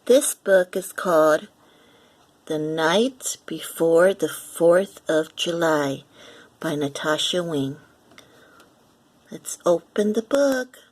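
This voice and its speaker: A woman reading a picture book aloud for young children, animated and deliberate, acting out the lines as she goes. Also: low voice. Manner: animated, deliberate, acts out lines and sound effects. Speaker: children's story reader.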